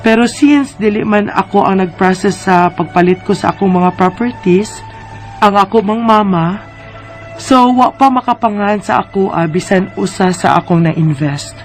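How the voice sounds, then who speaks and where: A woman's voice: medium pitch, normal speed, soft background music, lightly acted. A woman with a Filipino Visayan accent acting a weary, homesick wife in an earnest radio drama. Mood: reflective. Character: weary, homesick Filipina wife divorcing her American husband.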